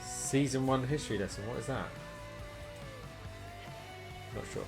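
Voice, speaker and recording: A man with a medium-pitched voice chats casually while streaming a game. He thinks aloud, reads menus out and puts questions as he goes, with guitar music playing behind him. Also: fast talker. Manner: thinking aloud, questioning, reading menu items out.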